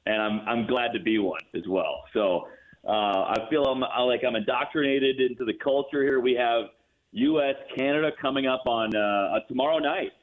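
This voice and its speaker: A man's voice: medium pitch, normal speed. A man speaking as an enthusiastic guest sportscaster in a conversational interview, telling things fluently. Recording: tinny narrow phone-like line.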